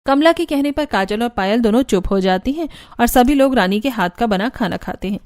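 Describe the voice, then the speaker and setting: A woman's voice: on the high side, lively intonation, a little fast, darkish tone. A woman giving scripted narration for a cartoon family story.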